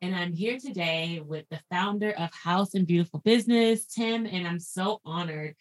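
Low-voiced woman hosting a podcast. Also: moderate pace. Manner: effusive, laughs often, many fillers and restarts. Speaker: podcast host. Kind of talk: casual interview chat.

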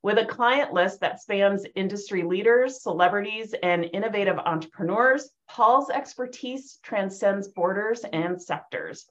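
A woman's voice: medium pitch, measured pace, few pauses, lo-fi sound. A woman hosting a podcast, reading a guest introduction and then chatting enthusiastically. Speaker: podcast host. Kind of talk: podcast interview.

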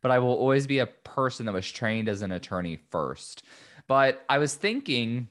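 A man's voice: medium pitch, sing-song, normal speed, fairly bright tone. A man hosting his own podcast in a chatty, upbeat manner with many ums, his voice a little scratchy after losing it. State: recovering from lost voice, slightly scratchy. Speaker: podcast host. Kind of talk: casual solo podcast talk.

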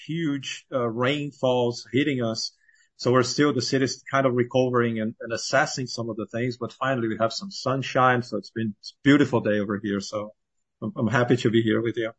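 A man's voice: medium pitch, no echo. A middle-aged man from Brazil answering interview questions in a conversational way, informally thinking aloud as he goes. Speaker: podcast guest, climate scientist and founder.

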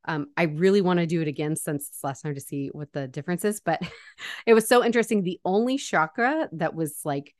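A woman hosts a relaxed podcast chat, bubbly and quick to laugh, her voice sitting a little low. The sound is close and dry with no room around it. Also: dry recording. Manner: bubbly, laughs often, many fillers. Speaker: podcast host. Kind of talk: casual podcast interview.

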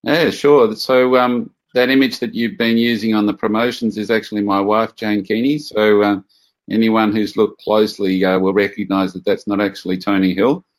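An Australian man, a guest in an interview, answering in a measured way with many pauses over a video call. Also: on the low side, level tone. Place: farm home.

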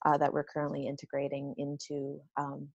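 A woman with a notably low-pitched voice speaks as an interview guest. She explains in an informal, conversational way, working things out aloud, in a very dry recording. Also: completely flat delivery, fairly quick, vocal fry, no echo, muffled recording. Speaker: business owner being interviewed.

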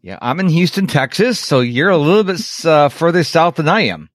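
A man's voice: fairly high pitch, lively intonation, brisk, no echo, clean studio sound. A middle-aged man hosting a podcast interview, chatty and self-deprecating, telling personal stories. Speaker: podcast host. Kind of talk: casual interview chat.

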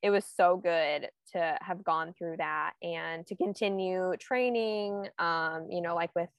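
A woman's voice: fairly low pitch, unhurried, creaky, clear, resonant tone, dry recording. A woman in her twenties, a guest speaking reflectively and filling her sentences with "like". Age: 20s. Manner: reflective, heavy use of "like". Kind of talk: casual podcast chat.

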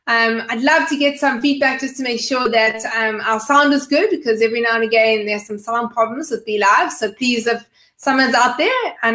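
A woman's voice: high voice, fairly bright tone. A woman hosting a live online video chat, chatty and full of "um" fillers as she talks. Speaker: podcast host. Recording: roomy live-stream audio.

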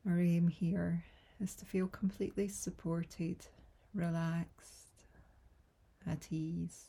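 A woman guiding a yoga nidra relaxation in a soft, breathy, soothing voice, gentle and unhurried with long pauses. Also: fairly low pitch, flat delivery. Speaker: yoga nidra meditation guide. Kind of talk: guided relaxation meditation.

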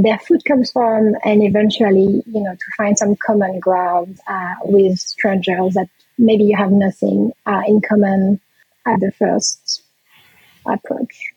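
Breathy woman guest on dull lo-fi line. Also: mid-range voice, darkish tone, no room sound. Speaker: guest, private chef. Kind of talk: casual interview talk.

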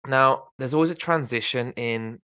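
A man's voice: mid-range voice, normal speed, bright, forceful tone, smooth, dry recording. A man with a Birmingham accent hosting an interview, encouraging his guest and often affirming what he says. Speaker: interview host. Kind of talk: conversational interview.

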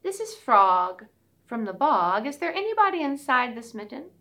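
A woman voicing a small, friendly male frog in a children's story, bright and welcoming. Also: on the high side, sing-song, moderate pace, voice pushed up, exaggerated voice.

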